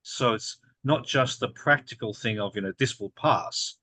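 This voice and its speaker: A man reflecting aloud in a discussion, measured and searching for his words. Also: mid-range voice, bright, forceful tone, husky, dry recording. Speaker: group discussion participant.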